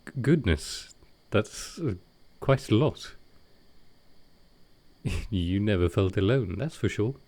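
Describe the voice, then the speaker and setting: A man playing a gentle, wistful ancient vampire in an intimate audio roleplay, soft-spoken and hesitant with halting pauses. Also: lowish voice, lively intonation, exaggerated voice. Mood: warm, hesitant.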